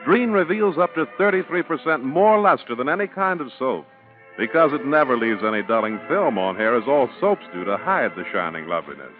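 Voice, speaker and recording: A man's voice: mid-range voice, big pitch swings, a little fast. A man announcing a 1940s radio advertisement in a polished, emphatic sales style. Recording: tinny 1940s radio, faint music.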